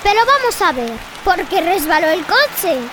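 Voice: very high voice, very animated, moderate pace, background noise. A very young-sounding performer playing a curious, protesting little girl in a children's radio play. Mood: indignant, puzzled.

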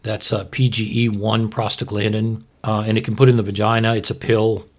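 A man's voice: lowish voice, little pitch movement, a little fast. A man speaking as a doctor, explaining medical practice in an informal, plain-spoken, instructive way. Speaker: obstetrician, expert guest.

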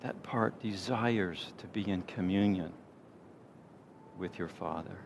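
Low-voiced man leading a gentle guided meditation. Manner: gentle, unhurried, soothing delivery with pauses. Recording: clear background noise, low rumble.

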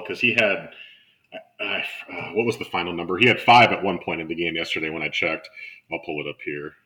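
Bright, forceful man talking basketball. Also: low-pitched. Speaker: sports podcast host and basketball broadcaster. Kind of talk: casual sports analysis chat.

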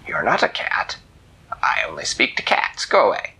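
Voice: low voice, wildly swooping pitch, moderate pace, creaky, sharp tone, full character voice. A storyteller voicing an ancient, grumpy male cat who talks in curt, whispery grumbles. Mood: gruff.

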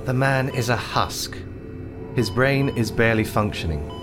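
A man voicing a calm, businesslike male doctor, clinical and matter-of-fact, with soft music behind. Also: mid-range voice, measured pace, pitched above the performer's own voice, natural voice. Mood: detached.

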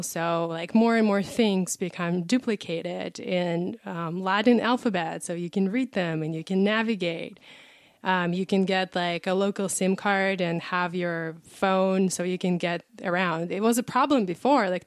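A woman in her thirties from Russia, talking easily as a podcast guest. She explains with lots of examples in a relaxed, chatty way. Her voice sits at a middle pitch and the sound is close and dry. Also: moderate pace, no echo. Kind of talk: casual podcast interview.

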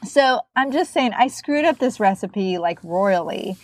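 A woman's voice: medium pitch, expressive, unhurried, dry recording. A woman with a cold and a nasal voice, telling a self-mocking kitchen story in casual chat. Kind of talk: casual chat about cookbooks.

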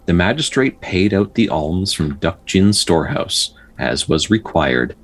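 A man with a deep, rough-edged voice hosts and narrates folktales. His intonation rises and falls widely, whether he is chatting casually or telling a story. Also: expressive, a little slow. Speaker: podcast host, storyteller.

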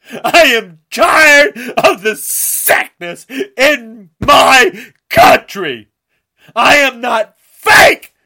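A man from the United States, crying and shouting through an angry rant. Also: very high-pitched, creaky. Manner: shouting, tearful, ranting. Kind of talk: angry political rant to camera.

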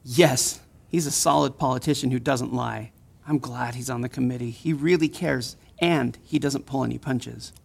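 A man playing an earnest, observant onlooker with a gravelly, husky voice in an audio drama, casually explaining. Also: medium pitch, moderate pace, a little mellow. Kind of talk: fiction podcast audio drama.

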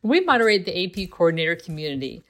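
A woman reads a promotional script in a somewhat low voice. She sounds friendly, and the delivery is clearly read aloud. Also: big pitch swings, fast talker, a bit bright. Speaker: online community moderator.

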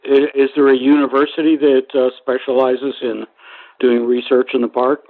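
A man hosting an informal radio interview, phrasing things in a halting, hesitant way. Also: mid-range voice, level tone, narrow, tinny audio. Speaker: radio interview show host.

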